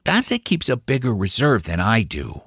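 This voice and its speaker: A man's voice: mid-range voice, huge pitch swings, moderate pace, fairly bright tone, slightly rough, no room sound, studio quality, pitched above the performer's own voice, heavily acted. A man voicing a grave, earnest young boy who shares secrets in a storybook. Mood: grave, confiding. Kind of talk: storybook character dialogue.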